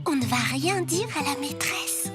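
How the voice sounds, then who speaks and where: A woman voicing a spirited, sociable schoolgirl in a children's cartoon, with an expressive childlike delivery. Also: high-pitched, normal speed, lightly acted. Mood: earnest. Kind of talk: French animated series dubbing. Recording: music behind the voices.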